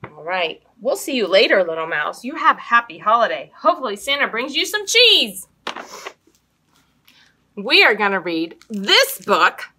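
An American woman, likely in her thirties, hosts children's storytime indoors with an expressive, sing-song delivery, speaking to young children. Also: medium pitch, normal speed, a little hiss or hum. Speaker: children's library storytime host. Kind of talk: children's storytime, interactive games and picture book reading. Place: small indoor room hung with fabric drapes.

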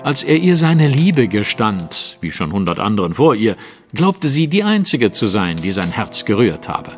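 An actor playing a composed, commanding Victorian detective in a German audio drama, speaking precisely and with theatrical authority. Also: male voice, on the low side, very animated, moderate pace, long reverb tail. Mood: grave. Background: music.

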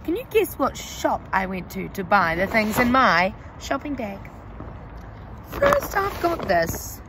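Young woman, primary teacher, outdoor phone video, very slow and animated read-aloud. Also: mid-range voice, very noisy. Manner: slow, exaggerated, sing-song storybook reading. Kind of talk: reading lesson for young children. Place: outdoors under trees.

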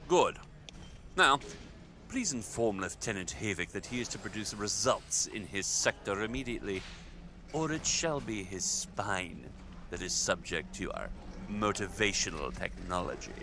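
An actor playing a sadistic Imperial overseer in a sci-fi audio drama, imperious and theatrical, with slow deliberate emphasis. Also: male voice, on the low side, expressive, noisy background. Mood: coldly menacing. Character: sadistic, menacing male Imperial overseer commanding a slave camp.

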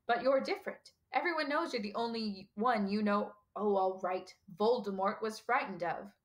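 A woman's voice: mid-range voice, moderate pace, lightly acted. A woman voicing a stern older female professor in an audiobook, with a clipped, emphatic, impatient manner. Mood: exasperated, admiring. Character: stern older female professor, brisk, indignant, easily ruffled. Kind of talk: audiobook character dialogue.

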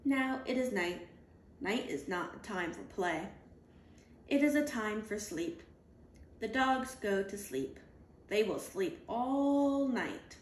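A woman reading a children's picture book aloud, deliberately, in clearly spaced phrases for young children, recorded indoors at home. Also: medium pitch, expressive. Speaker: storybook reader. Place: indoors at home, on a leather couch. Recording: roomy home recording with background noise.

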